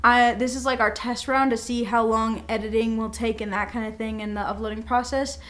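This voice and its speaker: A woman's voice: a little high, steady tone, a little fast, fairly bright tone. A young woman co-hosting a podcast in casual, giggly, informal conversation with plenty of swearing. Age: young adult. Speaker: podcast co-host. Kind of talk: casual unscripted chat between friends.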